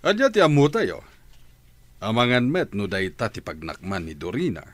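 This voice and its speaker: Animated, stern low-voiced father. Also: moderate pace, no room sound. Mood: reasoning. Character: stern, exasperated middle-aged father. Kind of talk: Filipino radio drama acting.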